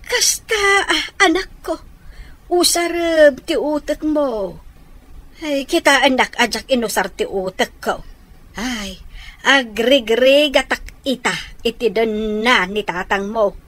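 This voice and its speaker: A woman's voice: on the high side, animated, fairly bright tone, exaggerated voice. An actress playing a plaintive middle-aged village mother in a radio comedy-drama, drawing out her words with sighs and exclamations. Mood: rueful. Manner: drawn-out, sighing, exclamatory.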